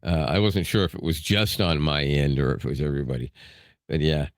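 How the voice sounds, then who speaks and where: A man co-hosting a casual podcast, animated and teasing, talking sports and tech. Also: brisk, no room sound, clean studio sound. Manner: animated, teasing, jumps up in pitch when ribbing. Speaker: podcast co-host. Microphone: studio microphone.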